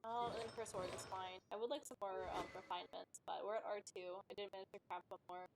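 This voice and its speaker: A woman's voice: medium pitch, steady tone, fast, noisy background. A woman giving casual, chatty commentary on a game livestream, rambling and often trailing off mid-thought. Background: music.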